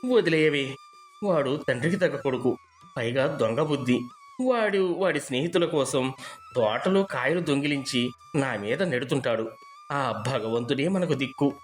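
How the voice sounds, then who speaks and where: Man as a humble, lamenting village gardener, animated pitch. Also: normal speed, faint music behind, lightly acted. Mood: resentful. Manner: deferential, lamenting. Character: poor, humble, loyal village gardener, a weary husband.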